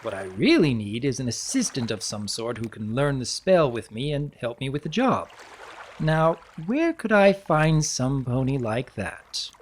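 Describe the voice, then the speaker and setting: A man voicing a bookish, kindly pony baron who talks in a wordy, mock-formal, gently teasing way for a storybook reading. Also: medium pitch, big pitch swings, a little slow, a little hiss or hum, pitched above the performer's own voice. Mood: wry, playful.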